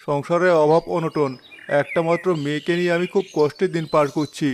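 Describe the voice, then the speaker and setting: Humble village father, slightly high voice, man acting. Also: fairly even intonation, fairly quick, a little hiss or hum, natural voice. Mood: pleading. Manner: deferential, pleading. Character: humble, deferential poor widowed villager father. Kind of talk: Bengali cartoon voice acting.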